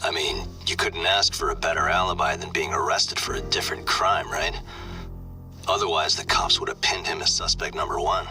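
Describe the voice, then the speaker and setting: A man playing a calm, casual private detective in conversational video game cutscene dialogue. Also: extremely low pitch, normal speed. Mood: inquisitive. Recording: game music under the voice. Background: music.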